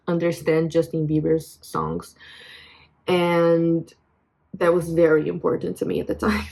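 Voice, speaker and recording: A young Brazilian woman in her twenties talks into her phone in English, her second language, with a Portuguese-tinged accent. Her voice sits a bit low and her pace is very slow. She sounds reflective and hesitant, full of fillers and restarts. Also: darkish tone. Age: 20s. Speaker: vlogger. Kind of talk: personal venting vlog.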